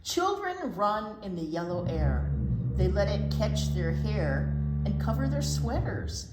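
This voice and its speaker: A woman's voice: mid-range voice, music in the background. An American woman reading a picture book aloud to young children, drawing out words expressively and adding chatty asides. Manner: expressive, drawn-out storytelling. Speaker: children's storytime teacher. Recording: echoey room with clear background noise.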